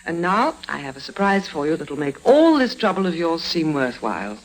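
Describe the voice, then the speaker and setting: A woman's voice: sits low, sing-song, moderate pace, low-quality recording. An actress playing a wealthy, gushing, genteel lady in an old-time radio drama. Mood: delighted. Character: wealthy gushing titled English lady benefactor.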